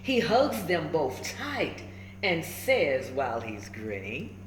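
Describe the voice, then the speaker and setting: A woman reads a picture book aloud for children with expressive, swooping intonation. She takes her time and checks in with her listeners. The room is echoey, with faint noise behind her. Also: deep voice, takes it slowly. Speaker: storybook reader. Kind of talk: animated children's read-aloud with asides to listeners. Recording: roomy echo with faint background noise.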